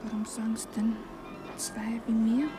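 An actress playing a coy, nervous young chambermaid with a Viennese accent and a fretful, breathy manner in a radio play. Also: female voice, a little high, flat delivery, normal speed, lightly acted. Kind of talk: 1960s German radio play acting. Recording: 1960s radio drama with music behind.

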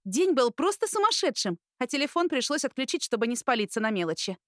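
A caring, cheeky older sister played by a woman narrator speaks in a high, animated voice with a playful, motherly manner. It is audiobook character acting, recorded dry and close. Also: fast talker, no room sound. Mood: confiding.

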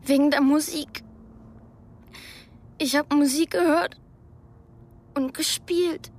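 An actress playing a maimed young Afghan woman in a radio drama, speaking in short, simple, halting sentences with a husky, breathy voice. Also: sits high, fairly even intonation, darkish tone. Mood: wounded, matter-of-fact. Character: maimed young Afghan woman, hushed, plain-spoken survivor.

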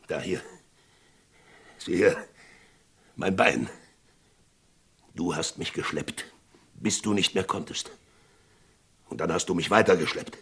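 Gravelly, desperate frontier sheriff. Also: male voice, low voice, exaggerated voice. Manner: urgent, swinging from hushed to shouting. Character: desperate frontier sheriff pleading with his friend. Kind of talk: German radio play Western acting.

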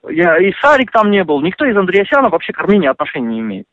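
A man talking over the phone, animated and indignant, full of fillers and restarts. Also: sits high, very fast, no echo. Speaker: interviewee on a phone call. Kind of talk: casual phone conversation. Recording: dry tinny phone line.

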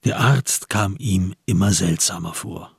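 A man narrates a radio drama from a script. His voice is very rough and breathy-husky, with a gravelly creak, set a little low. It is recorded dry, without room sound. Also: measured pace, no echo.

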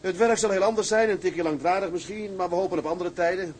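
A man's voice: sits high, steady tone, quick pace, a little mellow, slight background noise, close to an everyday voice. A man playing a genial, commanding tugboat captain in a radio drama, hearty and comradely. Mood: earnest. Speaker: actor playing a ship's captain. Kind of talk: Dutch radio drama acting.

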